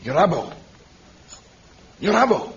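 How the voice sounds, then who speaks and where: An actor playing a noble, earnest and commanding jungle hero in a vintage radio serial, with formal, deliberate diction. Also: male voice, high voice, big pitch swings, vocal fry, fairly bright tone, exaggerated voice. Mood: urgent. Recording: dull noisy vintage radio recording.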